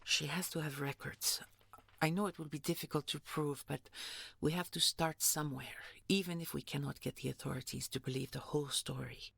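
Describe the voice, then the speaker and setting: Horror audio roleplay lead for a woman actor: solemn nightmare guardian, earnest and formal, low-pitched with a gravelly creak and husky edge. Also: steady tone, brisk, a bit bright, dry recording, heavily acted. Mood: hushed, wary. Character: grave, protective female nightmare being, guarding a human friend.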